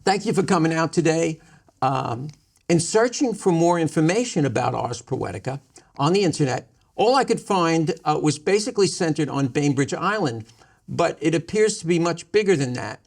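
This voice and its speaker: A man's voice: a little high, lively intonation, normal speed, vocal fry. A man hosting and interviewing a guest on a community television show. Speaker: musician. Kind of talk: public-access TV interview.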